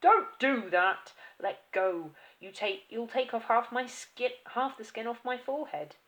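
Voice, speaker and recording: A woman voicing a blustering, bullying middle-aged father who shouts in outrage in a storybook reading. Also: fairly high pitch, a little slow, pitched above the performer's own voice. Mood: pained yelling.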